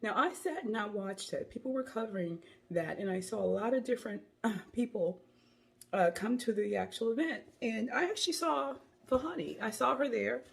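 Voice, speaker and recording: A woman with a cold and a hoarse voice, giving chatty, rambling opinion commentary into her phone. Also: on the high side, animated, normal speed. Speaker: commentary vlogger.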